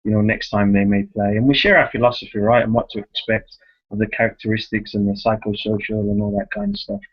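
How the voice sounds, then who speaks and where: A man's voice: lowish voice, expressive, fast, gravelly. A man hosting a conversational interview on a conference call, talking emphatically and often checking for agreement. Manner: emphatic, repeats words and seeks agreement with tag questions. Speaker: host, youth soccer club director. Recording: muffled, lo-fi conference call line.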